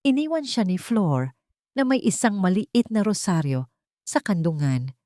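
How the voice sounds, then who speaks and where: A woman with a Filipino accent reads a dramatic story in a solemn, measured way. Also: mid-range voice, big pitch swings, mellow, no room sound, studio quality. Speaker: audiobook narrator. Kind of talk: dramatic scripted storytelling narration.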